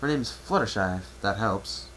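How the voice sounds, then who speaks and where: A man's voice: lowish voice, expressive, moderate pace, fairly bright tone. A man playing a young male pony traveler who talks in a polite, casual, slightly hesitant and reserved way. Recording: noisy amateur home recording.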